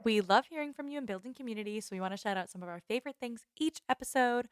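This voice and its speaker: A woman's voice: a little high, rapid-fire, clean tone, faint music behind. A woman co-hosting a podcast, talking in a casual, chatty style. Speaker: podcast co-host. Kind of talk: casual podcast chat and sign-off.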